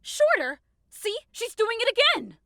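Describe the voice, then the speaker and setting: Woman acting fiery, high-voiced heartbroken young woman. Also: big pitch swings, measured pace, gravelly, pushed and bright, no echo, heavily acted. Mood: indignant. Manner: melodramatic, indignant, outbursts swinging to wounded pleading. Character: short, hot-tempered, heartbroken young woman in love. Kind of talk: modernized Shakespeare audio drama acting.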